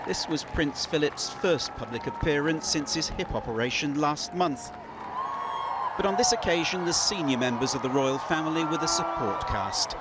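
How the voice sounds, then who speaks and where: A man reading a scripted television news report in a measured, storytelling way over a close mic. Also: on the high side, animated, a loud crowd, very noisy. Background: music under the narration.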